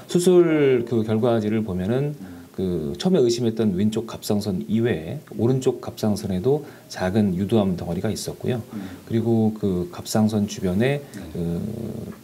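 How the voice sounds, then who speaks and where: A man's voice: lowish voice, moderate pace, few pauses, mellow, faint music behind. A middle-aged man explaining findings as a doctor, interviewed in a small office.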